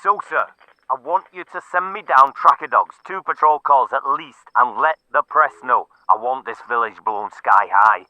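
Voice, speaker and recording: Man playing a forceful, defiant police inspector. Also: medium pitch, normal speed, dry recording, pitched above the performer's own voice, poor audio. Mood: urgent, grim. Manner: insistent, forceful, sardonic.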